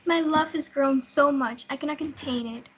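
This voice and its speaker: A woman's voice: high voice, moderate pace, slight background noise, close to an everyday voice. A young woman reading a lovestruck, eager girl in amateur reader's theatre. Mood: ardent. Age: teenager. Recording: dull lo-fi audio.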